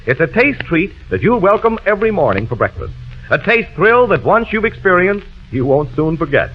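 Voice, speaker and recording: A man announcing a scripted 1940s radio commercial in an emphatic, polished sales manner. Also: a little high. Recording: tinny narrow-band 1940s radio recording, music behind.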